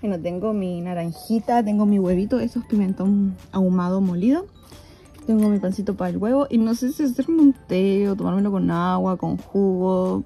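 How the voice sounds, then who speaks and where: A woman's voice: mid-range voice, mellow. A young woman, chatting casually through her daily chores at home while holding her phone at arm's length. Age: 20s. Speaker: vlogger. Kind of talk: casual daily vlog narration. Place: small home indoors. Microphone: phone held at arm's length.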